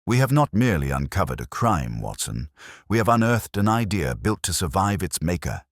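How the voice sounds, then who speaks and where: A man voicing a brilliant, grave detective in an audio story, deliberate, incisive and coolly analytical. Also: deep voice, very animated, no echo, studio quality, pitched above the performer's own voice, heavily acted. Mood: grim.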